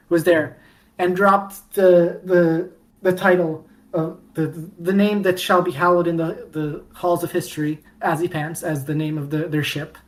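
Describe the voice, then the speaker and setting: A woman co-hosting a podcast, chatting casually about fandom in an animated storytelling way with mock-dramatic emphasis. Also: fairly low pitch, poor audio. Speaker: podcast co-host.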